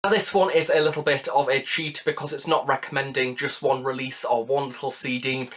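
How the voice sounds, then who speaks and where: A man's voice: mid-range voice, fairly even intonation, fairly quick, fairly bright tone, faint music behind. Man reviewing in a conversational style. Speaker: reviewer, video host.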